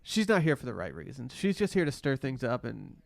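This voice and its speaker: A man's voice: mid-range voice, big pitch swings, brisk, vocal fry, dry recording, clean studio sound. A man co-hosting a podcast, chatting informally and bluntly in a slangy recap of a reality show. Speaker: podcast co-host. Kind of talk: casual reality-TV recap chat.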